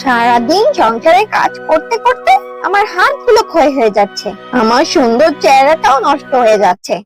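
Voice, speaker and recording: A woman's voice: high-pitched, sing-song, moderate pace, loud music behind the voice, poor audio. A woman playing a vain, quarrelsome young village wife in a cartoon, with whiny, haughty, dramatic complaining. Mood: indignant whining. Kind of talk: exaggerated cartoon dialogue.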